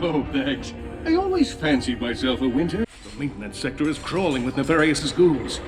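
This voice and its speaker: An actor playing a pompous, vain, bumbling space adventurer in a video game cutscene, theatrical and self-important. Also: male voice, mid-range voice, big pitch swings, moderate pace, over music, lightly acted.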